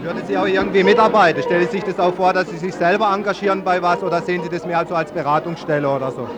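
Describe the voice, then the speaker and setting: A man's voice: high-pitched, fairly even intonation, very fast, over loud music. A man working as a reporter, asking informal vox pop questions. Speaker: radio reporter, interviewer. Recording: loud crowd around the voice.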